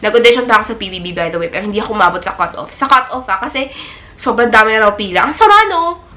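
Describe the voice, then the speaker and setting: Bright-voiced young Filipina vlogger. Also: female voice, mid-range voice, expressive, rapid-fire. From Philippines. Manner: chatty, switching between English and Tagalog. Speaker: beauty vlogger. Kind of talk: casual Q&A chat. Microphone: camera.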